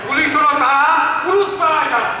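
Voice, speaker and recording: A man's voice: very high-pitched, quick pace. A man playing a bossy, exasperated police officer in an amateur stage play, giving impatient orders. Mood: threatening. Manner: commanding, impatient. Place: stage in a large hall. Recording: echoing hall, far mic, loud noise.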